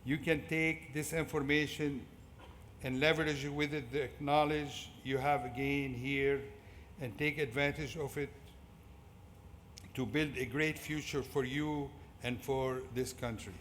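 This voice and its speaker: A man's voice: medium pitch, steady tone, measured pace, fairly bright tone. Echoey speech by a Syrian-accented man. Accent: Syrian Arabic. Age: middle-aged. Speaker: commencement speaker. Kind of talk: prepared motivational speech. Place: large indoor fieldhouse at a ceremony. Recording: echoey fieldhouse PA with clear background noise.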